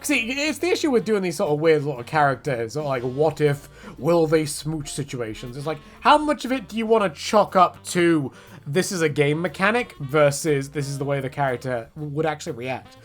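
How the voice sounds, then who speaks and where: A man's voice: on the high side, animated, normal speed, faint music behind. A man giving a casual, rambling, jokey rant about games, full of slang and acted-out quotes. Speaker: video creator, game commentator.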